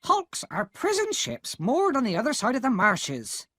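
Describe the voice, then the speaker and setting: A man voicing a hard, angry older woman who scolds and snaps in a shrill voice. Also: huge pitch swings, measured pace, sharp tone, dry recording, clean studio sound, exaggerated voice. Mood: snapping.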